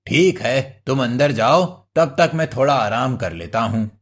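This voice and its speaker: A man playing a menacing devil master in a cartoon moral story, with a slow, drawn-out, commanding delivery. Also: mid-range voice, lively intonation, breathy edge. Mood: condescending. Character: menacing male devil master, easily outwitted.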